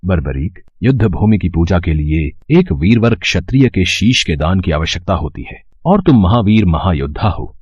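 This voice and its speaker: A man voicing a calm, shrewd, majestic god in a mythological story, with measured, formal speech. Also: low voice, breathy edge, no room sound, muffled recording, exaggerated voice. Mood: solemn blessing. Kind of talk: scripted mythological storytelling drama.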